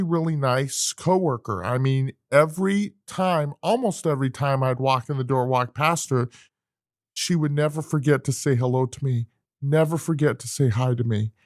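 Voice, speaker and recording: Earnest man with halting, restarting speech. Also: a little high, no room sound, studio quality. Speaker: podcast co-host. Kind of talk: casual podcast chat.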